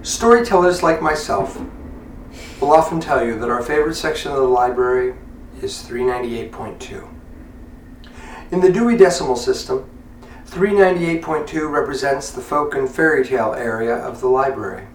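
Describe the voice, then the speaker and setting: A man with a mid-pitched voice hosts a storytelling podcast. His delivery is reflective and deliberate, with measured phrasing. He sounds set back from the microphone in a room with a strong echo. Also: a little hiss or hum. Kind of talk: reflective podcast talk with read-aloud essay. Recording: echoey room, distant from mic.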